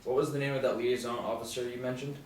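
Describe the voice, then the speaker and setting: A man's voice: mid-range voice, level tone, fast talker, a little mellow, close to an everyday voice. A man playing a skeptical, curt, authoritative FBI boss at a script table reading. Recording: very echoey room, distant mic, background noise.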